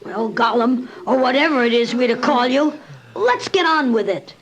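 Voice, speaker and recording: An actor playing a gruff, wary, plain-spoken hobbit servant in an audio drama. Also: male voice, extremely high pitch, lively intonation, normal speed, crowd noise, poor audio. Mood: impatient.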